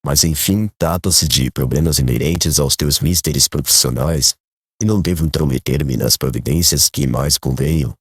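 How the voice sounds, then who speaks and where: A man playing a calm, kindly, grieving old country father in a dramatized audiobook, speaking in a measured, gentle and formal way. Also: a bass voice, husky, dry recording, studio quality. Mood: resigned.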